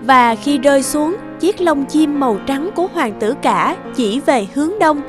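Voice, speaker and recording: A woman's voice: sits high, expressive, measured pace, over music. A woman reading scripted fairy-tale narration as the narrator.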